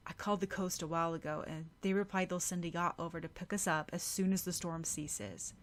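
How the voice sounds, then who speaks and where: Woman reading a calm, practical teenage boy, lowish pitch. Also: brisk, lightly acted. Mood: explaining.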